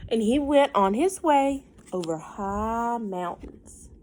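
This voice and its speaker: A woman reads a storybook to little kids at a slow pace. Her pitch moves in lively swings, and she often steps out of the text to explain things simply or ask the listeners a question. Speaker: teacher reading a picture book aloud to children. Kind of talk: children's read-aloud with casual asides and questions.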